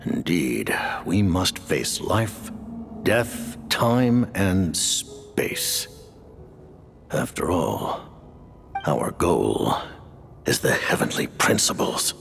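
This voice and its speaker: An actor playing a stern older male commander in a video game cutscene, speaking in a grave, commanding and deliberate way with a gravelly voice. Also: sits low, big pitch swings, a bit bright, exaggerated voice. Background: game score music.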